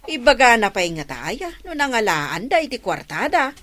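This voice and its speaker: Chatty agreeable gossip pal in a radio comedy, ilocano accent, highish lilting voice that bounces around. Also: female voice, sing-song, moderate pace, a bit bright. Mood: gossipy. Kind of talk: comedy radio drama acting.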